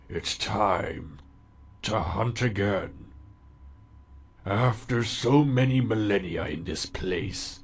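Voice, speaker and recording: A man voicing a sinister, power-hungry undersea warlord creature in a dramatic fan dub monologue, speaking slowly and imperiously with menace. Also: medium pitch.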